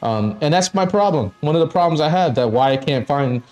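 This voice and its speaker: A man's voice: fairly high pitch, expressive, fairly quick, a little mellow. Man in his fifties, casual stream chat, blunt, curses a lot, faint music behind. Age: 50s. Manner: blunt, frequent swearing and laughing. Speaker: stream co-host.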